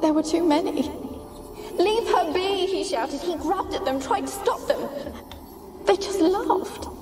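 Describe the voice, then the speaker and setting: A woman's voice: very high voice, measured pace. An actress playing the lonely, haunted ghost of a young noblewoman in a video game, with a plaintive, dramatic delivery. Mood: distressed. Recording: loud music under the voice. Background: game soundtrack music.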